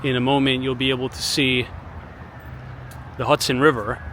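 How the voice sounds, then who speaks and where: A man with a hoarse voice, still getting over being sick, narrating a casual walking tour outdoors. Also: mid-range voice, normal speed. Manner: relaxed, chatty tour guide. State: hoarse, recovering from illness. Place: outdoors on city streets and in a park. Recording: outdoor street noise behind voice.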